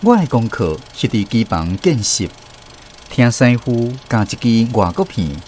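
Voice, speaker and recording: A man's voice: mid-range voice, a little mellow. An actor with a Taiwanese accent playing a man who narrates memories of his boyhood apprenticeship in a scripted radio drama. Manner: reflective storytelling, explains as he goes.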